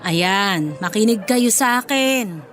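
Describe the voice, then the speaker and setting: A woman's voice: medium pitch, expressive, slow pace, fairly bright tone. A woman playing a blunt, protective middle-aged mother-in-law with a Filipino accent in a radio drama. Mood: reassuring. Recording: music bed behind the voice.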